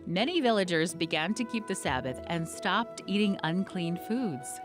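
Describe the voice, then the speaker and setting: Woman narrator, scripted storytelling, animated delivery, faint music underneath. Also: on the low side, moderate pace.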